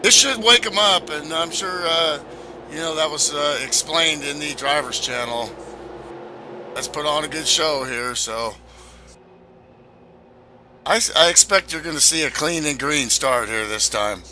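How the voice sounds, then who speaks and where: A man co-commentating a sim race he also drives in, relaxed and hesitant with fillers. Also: on the high side, unhurried, pauses often, a little hiss or hum. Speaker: co-commentator and racer. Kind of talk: sim racing broadcast commentary.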